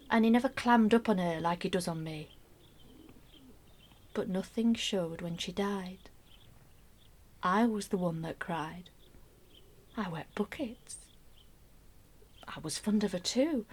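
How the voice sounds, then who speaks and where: A wistful middle-aged woman in a radio play, quietly confiding a secret sorrow. Her delivery is unhurried and measured, at a medium pitch, often falling away to a near-whisper. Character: middle-aged wife and mother, wistful, secretly grieving a love affair. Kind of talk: intimate dramatic monologue, scripted radio play narration.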